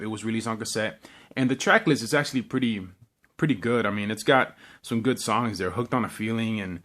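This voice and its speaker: A man talking casually and unscripted as a vlogger, in a halting, rambling way with repeated words. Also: fairly low pitch, fairly bright tone. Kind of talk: casual unscripted show-and-tell.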